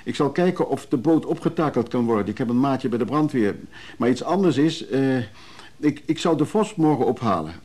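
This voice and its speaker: Easygoing fixer, mellow voice. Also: male voice, mid-range voice, lively intonation, a little fast, breathy edge. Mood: matter-of-fact. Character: easygoing down-on-his-luck fixer, a relapsed drinker. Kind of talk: Dutch radio play acting.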